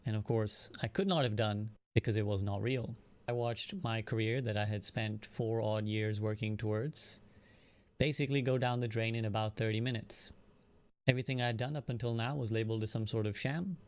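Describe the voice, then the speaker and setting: Low-pitched man, earnest and dryly recorded. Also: steady tone, brisk, clear, resonant tone, no room sound. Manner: earnest, articulate, formal wording. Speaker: online streamer. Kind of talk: personal statement to camera, measured and prepared.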